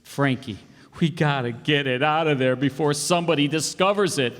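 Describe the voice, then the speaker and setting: A man's voice: on the high side, normal speed, a bit bright, lightly acted. Man playing exasperated radio sitcom husband, echoey hall. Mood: sarcastic, bossy. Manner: exclaiming, stammering when making excuses. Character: flustered, exasperated married bandleader, slick comic schemer. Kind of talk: 1940s radio sitcom acting. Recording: reverberant hall, stage radio play.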